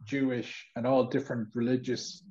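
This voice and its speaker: A man's voice: mid-range voice, flat delivery, normal speed, mellow. A man chatting casually in a group, a little hesitant as he searches for words. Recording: slightly dull, lo-fi sound.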